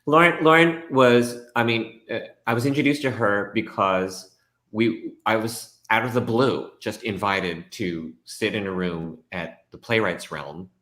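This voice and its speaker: Low-voiced guy telling theater stories, acts out his own thoughts, sounds roomy. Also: a little slow. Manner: animated storytelling, reenacts his own thoughts. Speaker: guest, actor. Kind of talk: casual conversation. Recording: echoey room.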